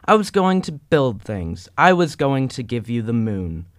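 Young man acting an anguished young husband, clear-voiced. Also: mid-range voice, sing-song, unhurried, dry recording, clean studio sound. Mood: anguished, regretful. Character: anguished, self-doubting young husband in a holiday drama. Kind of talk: radio play acting.

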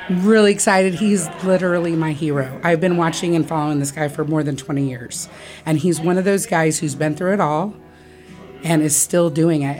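A woman's voice: low voice, darkish tone. A woman musician talking casually and informally as a guest, full of enthusiasm. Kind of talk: casual spontaneous talk.

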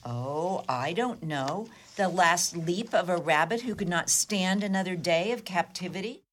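Radio comedy whodunit casting: actress for sardonic, haughty woman suspect, slightly low voice, wry and defiant. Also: lively intonation, a little slow. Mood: defensive, sardonic. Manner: wry, haughty, clipped retorts. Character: defiant, flippant, sardonic woman under police questioning. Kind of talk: comedic radio play dialogue.

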